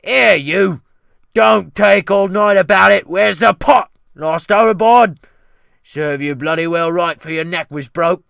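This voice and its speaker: A man voicing a bellicose, sneering ship's cook in a dramatic audiobook, jeering and shouting. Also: sits high, measured pace, creaky, no echo, studio quality, voice pushed up, full character voice. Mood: angry, scolding.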